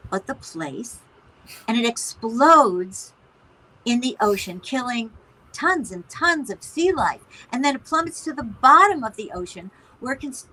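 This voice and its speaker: A woman's voice: a little high, bright, forceful tone, slight background noise, poor audio. An actress playing a disapproving middle-aged mother in a stage play, pointed and exasperated. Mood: scornful, disapproving. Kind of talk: conversational stage-play dialogue.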